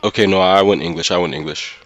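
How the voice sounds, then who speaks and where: Very low-voiced man on a casual livestream. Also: fairly even intonation, fast, fairly bright tone, no echo. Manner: informal, slangy, checks in often with listeners. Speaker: streamer and host of a gaming channel.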